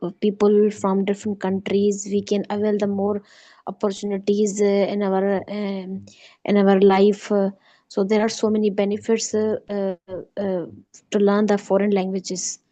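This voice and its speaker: Sindhi-speaking woman, polite student, flat mellow voice, dry sound. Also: medium pitch, moderate pace, no room sound. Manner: polite, often says "ma'am", fillers and restarts. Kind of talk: online class speaking practice.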